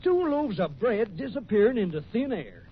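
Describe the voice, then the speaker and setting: An actor playing a grouchy, excitable prospector in an old-time radio western, with a folksy rustic drawl and blustering, indignant manner. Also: male voice, sits high, moderate pace, husky, heavily acted. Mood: baffled, accusing. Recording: muffled, noisy old radio recording.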